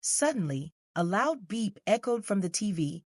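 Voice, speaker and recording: A woman's voice: medium pitch, big pitch swings, normal speed, darkish tone, husky, no room sound. Woman narrating a children's story, dry sound.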